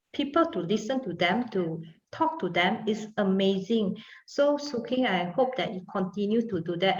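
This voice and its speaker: Encouraging Malaysian woman host, echoey call. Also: medium pitch. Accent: Malaysian English. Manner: encouraging, affirming. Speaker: host and coach. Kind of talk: casual video call chat. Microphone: video call. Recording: echoey dull video call audio.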